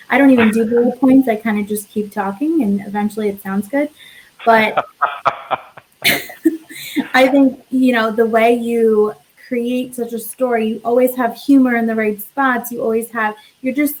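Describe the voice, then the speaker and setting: A woman hosting a talk show, with a fairly high voice. She speaks in an enthusiastic, bubbly way as she interviews her guest over a video call. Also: darkish tone, poor audio. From Westchester, New York, USA. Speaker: host.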